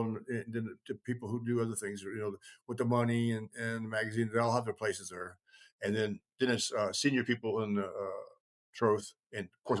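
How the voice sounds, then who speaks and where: Low-voiced man, rambling and halting. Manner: rambling, halting, frequently trailing off. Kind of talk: casual conversational storytelling and explaining.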